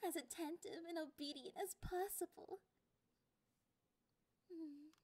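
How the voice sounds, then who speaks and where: A woman voicing a clingy, possessive catgirl girlfriend in an ASMR roleplay, with a playful, condescending and drawn-out delivery. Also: very high-pitched, dark tone. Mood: commanding, smug.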